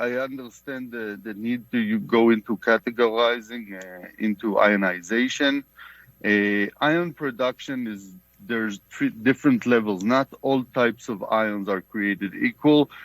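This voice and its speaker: A man with a heavy Israeli accent giving formal testimony over a video call, explaining things in a halting way. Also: lowish voice, fairly bright tone, no echo, rough recording. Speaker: company CEO giving testimony. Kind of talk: formal testimony at a remote hearing.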